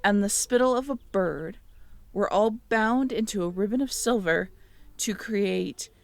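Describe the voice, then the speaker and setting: Slow soft-spoken woman reading notes. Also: medium pitch, gravelly, no echo, soft background music. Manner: musing aloud, reading notes, checking back with the listener. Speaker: audio roleplay performer. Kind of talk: intimate audio roleplay, talking to the listener.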